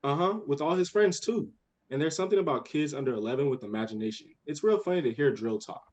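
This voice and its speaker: A man's voice: a little high, expressive, fast talker, a little mellow. A young man playing an eager, bossy, imaginative little girl in a radio play, with earnest impatience. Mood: earnest, excited. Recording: dull lo-fi audio.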